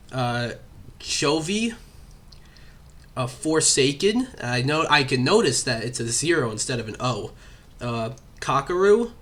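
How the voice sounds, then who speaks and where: Bright-voiced young man hosting a chat. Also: medium pitch. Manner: rambling, many fillers. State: has a cough. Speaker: podcast host. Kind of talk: casual chat.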